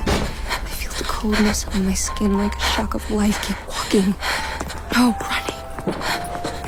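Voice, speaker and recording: An actress playing a wistful, candid young heiress in a radio drama, speaking earnestly and hesitantly with a private unease. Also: female voice, mid-range voice, little pitch movement, takes it slowly, loud music behind the voice. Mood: hushed, anxious. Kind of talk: radio drama dialogue and inner monologue.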